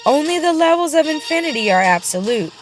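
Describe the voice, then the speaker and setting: A woman with a fairly high voice narrates a spiritual audiobook. She phrases each line solemnly and deliberately, setting words apart with pauses. Faint music plays underneath her reading. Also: very animated. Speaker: audiobook reader. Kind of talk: scripted reading of a religious book.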